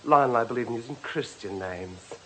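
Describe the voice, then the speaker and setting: A man's voice: medium pitch, a little fast, bright, forceful tone, close to an everyday voice, low-quality recording. An actor playing an affected young male acolyte in a radio drama, gushing, catty and melodramatic.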